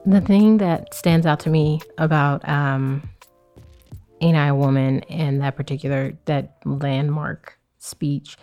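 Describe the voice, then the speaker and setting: A woman's voice: low voice, fairly even intonation, takes it slowly, dull audio, faint music behind. A woman co-hosting a podcast, thinking aloud in casual discussion with frequent fillers. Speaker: podcast co-host.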